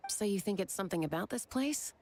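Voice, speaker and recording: A woman's voice: mid-range voice, animated, fast, loud music behind the voice, natural voice. An actress playing a cool, laconic female scout in an anime game, laid-back and understated. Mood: wry, respectful.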